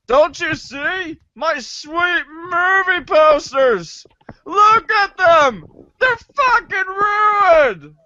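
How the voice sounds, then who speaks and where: A man acts out an exasperated, excitable boy in a bright, high voice. He is theatrical and foul-mouthed, prone to drawn-out wails, in a comedic readers' theater recorded close and dry. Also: no room sound, muffled recording, exaggerated voice. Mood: wailing dismay.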